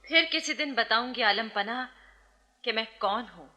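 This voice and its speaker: A woman's voice: fairly high pitch, normal speed, bright, forceful tone. A woman playing a bold, enigmatic young court dancer in a radio drama, speaking in a theatrical, poised and teasing way. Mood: coy, teasing. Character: bold, enigmatic young dancing girl in love. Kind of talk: theatrical Hindi radio-play acting.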